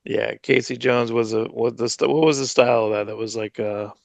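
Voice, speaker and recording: A man in his forties co-hosting a comics podcast from home on a headset, talking in a relaxed, hesitant way with many fillers. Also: mid-range voice, a little fast, vocal fry, dry recording. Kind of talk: casual chat.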